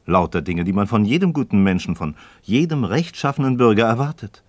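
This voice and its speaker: A man's voice: on the low side, expressive, brisk, no room sound. An actor playing an inquisitive, skeptical man in a radio play, speaking articulate, rhetorical in philosophical dialogue. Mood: skeptical, challenging.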